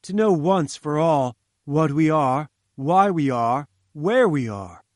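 Male reader doing a loud, haughty old professor; curt, lecturing, high and forceful. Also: expressive, slow, no room sound, clean studio sound. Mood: angry, lofty. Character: imperious, irascible older male scientist.

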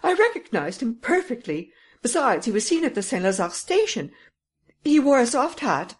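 A woman voicing an excitable, dramatic French lady who exclaims breathlessly. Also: fairly high pitch, moderate pace, slightly rough, pitched above the performer's own voice. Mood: insistent.

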